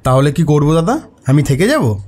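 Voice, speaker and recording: A man's voice: on the high side, sing-song, a little fast, darkish tone. An actor voicing a respectful, hesitant male renovation supervisor in a horror cartoon, uneasy and deferential. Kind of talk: Bengali horror cartoon dialogue.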